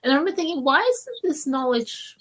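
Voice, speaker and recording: A woman from Malaysia speaking as a podcast guest, telling stories casually with hesitant, conversational pauses. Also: high-pitched, big pitch swings. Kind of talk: casual interview storytelling.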